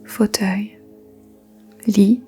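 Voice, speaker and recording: A woman guides a yoga nidra meditation in a soft, whispery voice. Her delivery is very slow and even, keeping close to one pitch. Faint music plays beneath her gentle instructions. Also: slightly rough. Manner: slow, gentle, soothing instructions with long pauses.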